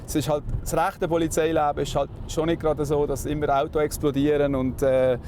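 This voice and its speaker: Swiss male police officer, soft mellow tone, casual explainer, faint traffic noise. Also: a little high, flat delivery, fairly quick.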